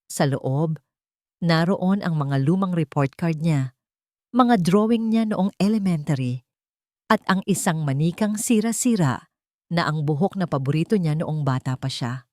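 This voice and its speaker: Low-pitched woman narrating a dramatic story. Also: expressive, unhurried, a little mellow, dry recording, clean studio sound.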